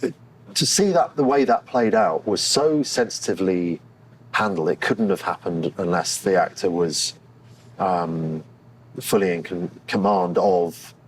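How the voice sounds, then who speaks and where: A low-pitched man talks candidly as an interviewed actor. He is thoughtful and halting, searching for the right words as he goes. There is clear background noise around his voice. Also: poor audio.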